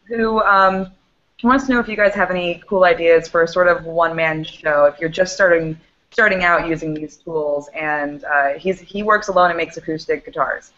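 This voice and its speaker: A woman's voice: fairly low pitch, steady tone, normal speed, a bit bright, muffled recording. A woman co-hosting a casual web show, reading out questions with hesitant, informal phrasing. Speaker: co-host relaying viewer questions.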